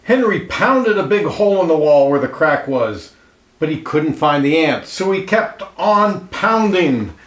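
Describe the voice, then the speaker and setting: Slow, animated man reading a picture book, roomy sound. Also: on the high side. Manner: expressive, pausing to point out pictures and ask questions. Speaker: storybook reader. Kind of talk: read-aloud of a children's picture book with asides to listeners. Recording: roomy, echoey indoor sound.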